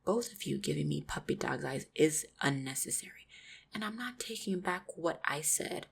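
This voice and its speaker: A woman voicing an affectionate, supportive orc fiancée in an intimate roleplay audio, speaking tenderly and reassuringly with gentle teasing, often close to a whisper. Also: deep voice, lively intonation, moderate pace, heavily acted. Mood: fond scolding. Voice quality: breathy, husky, often near-whispered.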